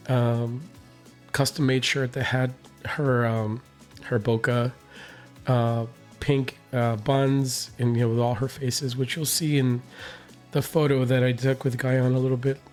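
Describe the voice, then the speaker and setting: Hoarse man rambling through a recap. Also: medium pitch, a little mellow. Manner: rambling, many fillers and restarts. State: hoarse, recovering voice. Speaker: reaction channel host. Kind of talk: casual recap vlog.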